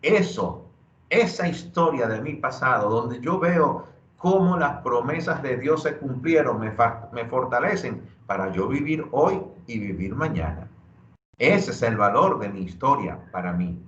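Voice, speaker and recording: A man giving a motivational workshop talk, earnest and preacher-like, slowing down to stress key phrases. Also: mid-range voice, animated, fairly bright tone. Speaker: workshop speaker. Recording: dull lo-fi audio in an echoey room.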